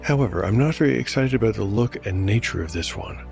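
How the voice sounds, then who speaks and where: A man narrating a solo fantasy role-playing show as game master, in a measured, explanatory scripted style. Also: lowish voice, animated, vocal fry, a little mellow. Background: music.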